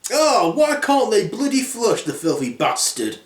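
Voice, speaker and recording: A man's voice: high-pitched, very animated, a little slow, pitched above the performer's own voice. Casting: nosy, blunt fairy-tale girl, picky and brazen played by a man, roomy recording. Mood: annoyed.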